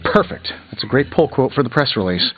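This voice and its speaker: A man's voice: mid-range voice, very animated, fairly quick, highs cut off, lightly acted. A man playing a cocky, wisecracking genius inventor superhero in a video game, with glib, sarcastic banter. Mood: breezy, cocky. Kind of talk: video game dialogue acting.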